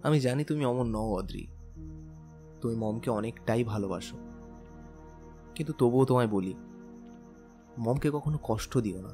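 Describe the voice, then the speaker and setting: A man's voice: mid-range voice, normal speed, long pauses, lightly acted. A man playing a tender, teasing young bridegroom in a romantic audio drama, speaking earnestly and persuasively. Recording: music bed under the voice.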